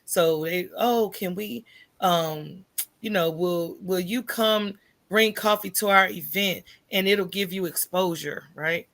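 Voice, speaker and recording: An American woman with a creaky, forceful voice speaks as a podcast guest. She tells personal stories in a loose, candid conversational style and often tags her points with a checking "right?". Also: on the low side, takes it slowly. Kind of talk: conversational personal storytelling in an interview.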